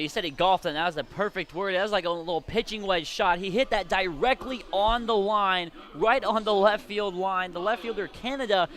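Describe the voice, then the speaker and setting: A man's voice: high voice, a bit bright. An American man giving chatty, explanatory live analysis as a baseball color commentator. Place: at a baseball stadium.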